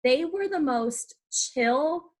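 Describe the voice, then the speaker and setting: A woman's voice: high-pitched, animated, extremely slow. A young woman from Arizona with an American accent, hosting a podcast in a casual conversational style. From Arizona, United States. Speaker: podcast host. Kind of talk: casual interview chat.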